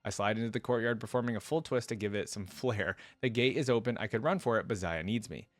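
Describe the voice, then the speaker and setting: A man's voice: on the low side, fast talker, no room sound, lightly acted. A man voicing a vain, playful, easily distracted birdlike battle dancer in a flippant first-person story reading. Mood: excited, flippant.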